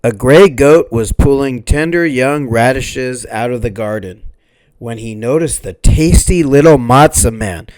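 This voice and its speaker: A man reading a children's storybook aloud as host, in an expressive, measured and deliberate narrating style. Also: medium pitch, poor audio.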